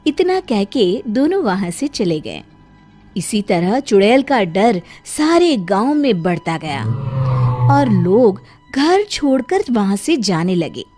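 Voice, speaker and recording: A woman's voice: mid-range voice, very animated, slow, dark tone. A woman narrating a scripted moral story expressively as a storyteller. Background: faint music.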